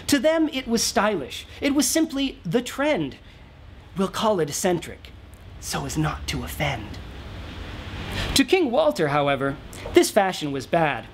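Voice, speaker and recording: Animated man reading a rhyming storybook. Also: high voice, slight background noise. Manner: theatrical, rhythmic, emphatic. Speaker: author reading aloud. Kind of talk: dramatic rhyming storybook narration. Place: small cosy brick-walled lounge indoors.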